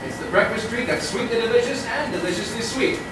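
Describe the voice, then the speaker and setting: A man's voice: extremely high pitch, quick pace. A man performing a testimonial in a scripted parody advertisement. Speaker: performer giving a mock testimonial. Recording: echoey, noisy live room, far from mic.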